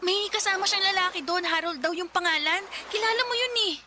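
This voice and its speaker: An anxious little sister in a radio drama, tattling in an urgent, complaining way. Her voice is extremely high, with clearly audible ambient noise around it. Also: brisk, pushed and bright.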